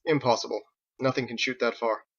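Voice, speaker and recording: A man's voice: mid-range voice, steady tone, a little fast, slightly rough, highs cut off. A man voicing a proud, naive knight in an audiobook, formal and prim in his speech. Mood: prim disbelief. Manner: formal, prim, indignant, strutting. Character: proud, naive armored knight, an earnest male outsider. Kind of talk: audiobook character acting.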